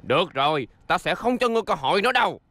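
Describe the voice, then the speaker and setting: A man's voice: high voice, very animated, bright, forceful tone, no echo, exaggerated voice. A man voicing a self-assured, teasing old grandfather in a cartoon dub. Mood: taunting. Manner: unhurried, taunting, self-assured. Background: background music.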